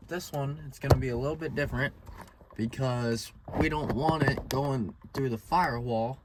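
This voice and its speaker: A man giving a casual, plain-spoken how-to walkthrough in an informal style. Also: mid-range voice. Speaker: DIY presenter. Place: in and around a pickup truck. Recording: clear background noise and handling clicks.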